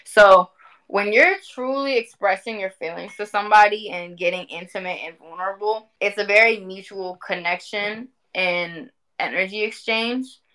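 A woman in her twenties talking casually on a livestream, animated and emphatic, often repeating phrases for emphasis. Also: mid-range voice, moderate pace, poor audio. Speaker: spiritual community speaker. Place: indoor room at home.

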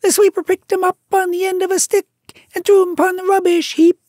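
A woman doing a shrill, giddy little songbird. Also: flat delivery, brisk, a little mellow, no echo, clean studio sound. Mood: exultant. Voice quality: shrill and sing-song. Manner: exultant, gushing praise. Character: giddy little male tailorbird, boastful singer.

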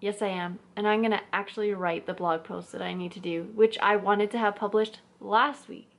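A woman's voice: mid-range voice, steady tone, normal speed, gravelly. A woman in her thirties chatting at home beside her husband, wry and teasing, filmed by a camera held at arm's length. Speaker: vlogger's wife. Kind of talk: casual chat. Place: living room at home.

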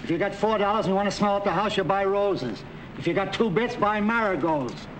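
A streetwise flower seller. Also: male voice, sits high, brisk, fairly bright tone, rough recording. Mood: dry. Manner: dry, streetwise, slangy. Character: wisecracking street flower vendor, middle-aged man. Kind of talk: 1940s film acting. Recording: hissy 1940s film soundtrack.

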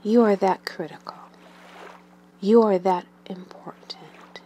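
Slow, soothing woman guiding a meditation. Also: mid-range voice, steady tone. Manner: soothing, gentle, with long pauses and breathy, trailing phrase ends. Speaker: meditation guide. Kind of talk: guided meditation narration.

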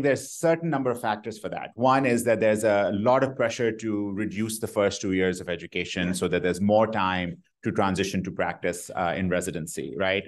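A middle-aged man giving an academic lecture on a room microphone, talking eagerly in quick bursts and poking fun at himself. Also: lowish voice. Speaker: pathology grand rounds speaker. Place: lecture hall. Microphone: room microphone, uneven volume.

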